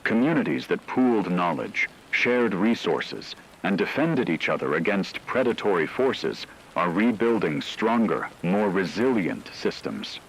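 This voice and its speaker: A man playing a grave radio announcer, reading a scripted disaster broadcast in a solemn, measured way. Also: fairly low pitch, lively intonation, creaky, breathy edge, heavily acted, rough recording. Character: grave male radio announcer reporting on an apocalyptic aftermath. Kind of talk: scripted fictional emergency radio broadcast narration.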